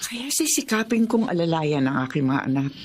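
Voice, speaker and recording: A woman's voice: low voice, big pitch swings, moderate pace, a little hiss or hum. An actress playing a loving, long-suffering middle-aged mother in a Filipino drama, with a Filipino accent. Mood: moved, reflective. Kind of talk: emotional Filipino radio-style drama acting.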